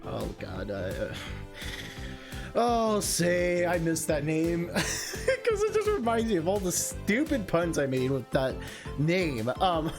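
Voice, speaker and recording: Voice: medium pitch, huge pitch swings, slow pace. A streamer reading a visual novel aloud and adding informal, self-deprecating asides. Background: music.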